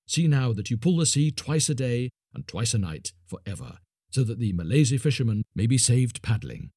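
A man voicing a stern ancient male magician in a storybook reading, speaking in slow, grave pronouncements. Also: big pitch swings, warm tone, husky, no echo, clean studio sound. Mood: solemn. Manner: slow, low and solemn pronouncements.

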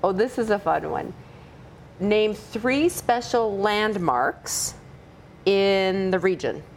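Slow-talking woman hosting a casual interview. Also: mid-range voice, expressive, a little hiss or hum. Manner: mixes English and Spanish, asks quiz questions. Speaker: podcast host.

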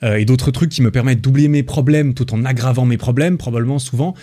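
A young man from France hosting a solo podcast, talking in a relaxed, rambling way with lots of fillers and restarts. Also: medium pitch, fast. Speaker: podcast host. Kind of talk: casual solo podcast monologue.

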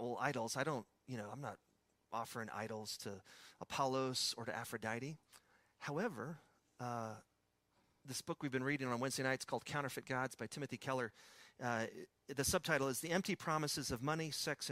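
A pastor with a West Texas accent preaching a sermon in a conversational storytelling way, with personal asides. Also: male voice, a little high, pushed and bright, no echo.

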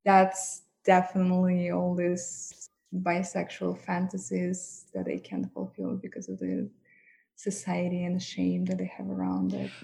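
A woman's voice: lowish voice, monotone, measured pace, vocal fry, dark tone, poor audio. A woman with a Russian accent, a guest talking frankly and with lots of laughter about her work, her first language Russian. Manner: frank, laughs often, mock-degrading asides. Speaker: guest, professional dominatrix. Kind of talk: candid interview chat.

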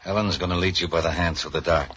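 An actor playing a suspicious, bullying ship's captain in a 1940s radio crime drama, gruff, curt and commanding. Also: male voice, deep voice, steady tone, fast, fairly bright tone. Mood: curt, contemptuous. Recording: dull, noisy old radio recording.

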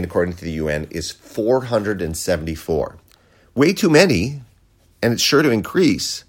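An American man hosting a podcast, delivering a sarcastic, profane opinion rant. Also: medium pitch. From United States. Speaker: podcast host. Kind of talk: opinion monologue.

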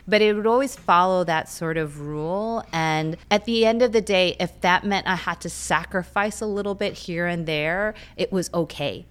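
Female guest, thirties, Korean first language; candid and chatty, smooth voice pitched on the low side. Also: moderate pace, few pauses. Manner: candid, chatty, with frequent fillers. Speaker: guest, lawyer and runner. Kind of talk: reflective interview answers.

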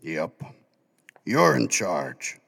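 A man voicing a gruff but kindly animal guide who teases warmly. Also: deep voice, big pitch swings, extremely slow, long reverb tail, heavily acted. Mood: teasing.

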